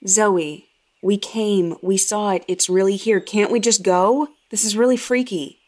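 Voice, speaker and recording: A woman's voice: medium pitch, fairly even intonation, measured pace, darkish tone, lightly acted. A woman voicing a timid, frightened girl in a horror story, anxious and pleading. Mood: nervous. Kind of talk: horror story character dialogue.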